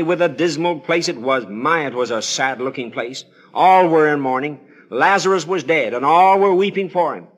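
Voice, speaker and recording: A man's voice: fairly high pitch, fairly bright tone. A man preaching on the radio in a solemn, pleading, theatrical manner, telling Bible stories with formal, old-fashioned scriptural phrasing. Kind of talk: dramatic evangelistic sermon storytelling.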